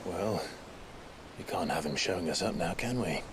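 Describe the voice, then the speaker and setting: An actor playing a serious adventurer in a video game cutscene. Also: male voice, low voice, lively intonation, moderate pace. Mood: questioning. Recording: sea wash and wind behind.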